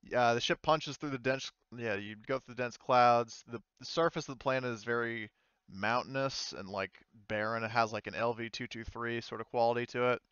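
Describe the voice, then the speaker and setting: A man narrating a tabletop game casually as game master, halting and informal in his delivery. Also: medium pitch, steady tone, fairly bright tone, clear, resonant tone, no echo, highs cut off. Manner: halting, informal, mild swearing.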